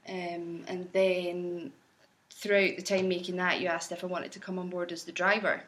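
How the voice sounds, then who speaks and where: Woman, crew member, lowish, even delivery, understated. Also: moderate pace. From Scotland. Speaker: driver and video editor for the campaign. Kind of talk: casual interview answers.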